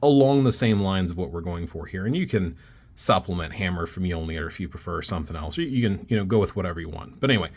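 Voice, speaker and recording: A man's voice: low voice, quick pace. A man teaching a self-taught craft in a casual step-by-step tutorial, talking while he draws and thinking aloud. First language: not Icelandic. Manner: relaxed, talks while drawing, thinking aloud with fillers.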